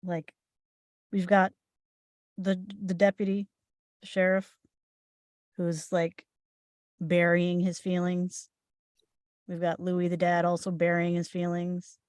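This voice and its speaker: A woman's voice: fairly low pitch, monotone, slow pace, pauses often, a little mellow, no room sound, studio quality. A woman discussing a script at a table read, thinking aloud and building her ideas as she goes.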